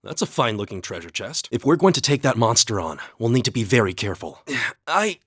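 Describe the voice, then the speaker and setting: A man voicing a calm, earnest young highland warrior in a video game, with measured, slightly formal phrasing. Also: breathy edge, no echo, studio quality. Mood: calm, friendly. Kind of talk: English video game voice acting.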